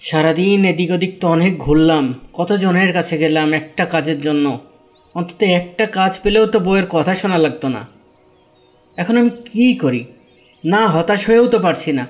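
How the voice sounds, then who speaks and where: A man's voice: high-pitched, moderate pace, faint music behind, natural voice. A man playing a poor, devout young husband in an Islamic cartoon, speaking in an earnest, pleading way. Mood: worried. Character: poor, devout, hard-pressed young husband seeking work. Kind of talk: Islamic moral-tale cartoon dubbing.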